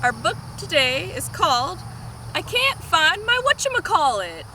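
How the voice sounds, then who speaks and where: A woman's voice: very high-pitched, big pitch swings, a little slow, pushed and bright, slight background noise. A woman presenting a children's storytime, explaining things simply for young listeners. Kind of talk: explaining and reading a picture book aloud to children.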